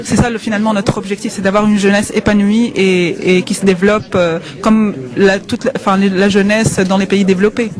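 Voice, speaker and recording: A woman's voice: medium pitch, steady tone, fairly quick, few pauses, a crowd in the background. A woman answering a radio interview as an official guest, formal and hesitant with many fillers.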